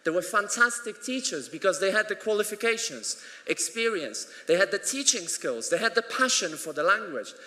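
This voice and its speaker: A man whose first language is Polish delivers a conference keynote in a high, bright voice. He is earnest and emphatic, with touches of dry humour. The sound carries a long echo from the hall. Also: big pitch swings, moderate pace, hardly any pauses. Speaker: conference plenary speaker. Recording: echoey hall.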